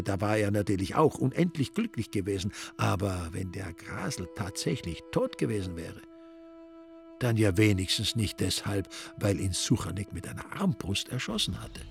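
A man's voice: on the low side, lively intonation, normal speed, creaky, over music. A man with an Austrian accent narrating a radio crime play in a dry, ironic storytelling manner.

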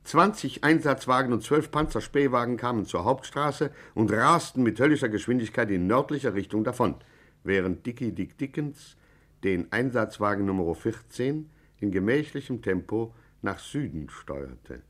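An actor as the wry narrator, telling a mock-heroic gangster tale with solemn deliberation in a 1950s German radio play. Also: male voice, medium pitch, lively intonation, normal speed, fairly bright tone. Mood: dry, ironic.

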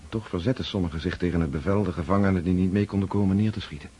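An actor playing a weary, troubled freed prisoner of war in a radio drama, sombre and reflective. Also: male voice, sits low, very fast, warm tone, breathy edge.

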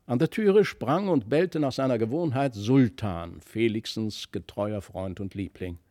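A man's voice: mid-range voice, a little slow, mellow, no room sound, clean studio sound. A man narrating a literary fairy tale expressively, as an audiobook reader.

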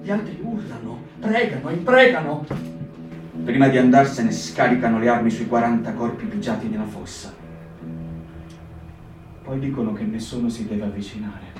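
Man, mid-pitched, solemn first-person narration. Distant mic, roomy echo, loud guitar behind. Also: expressive, moderate pace, pauses often. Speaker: reader in a performed reading. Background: loud guitar music.

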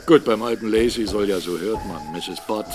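An actor playing a proud, brusque mill owner and captain in a radio drama, boastful and quick to argue. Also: male voice, on the low side, over loud music, lightly acted. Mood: gruff, teasing. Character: blunt, proud mill-owning captain, a gruff businessman.

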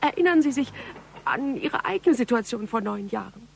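An earnest police investigator in a radio crime play. Her voice is fairly high with a marked creak, insistent yet controlled. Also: expressive, normal speed, slight background noise. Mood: urgent, pleading. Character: determined female police investigator, earnest and pressing. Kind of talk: radio crime drama dialogue.

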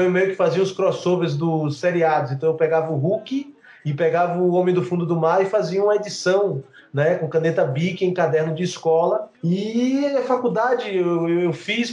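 A man reminisces casually as an interview guest. His voice is fairly high for a man and sounds roomy and slightly dull, with chatty word repetitions. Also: darkish tone. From Salvador, Brazil. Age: 50s. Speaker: interview guest, comics artist. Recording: dull, echoey room sound.

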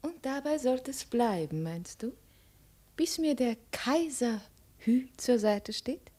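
An actress playing a cold, shrewd young princess with a commanding, ironic and probing manner, in 1950s radio drama style. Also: female voice, on the high side, lively intonation, slow, mellow, breathy edge, heavily acted. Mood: suspicious, probing. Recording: 1950s radio studio recording.

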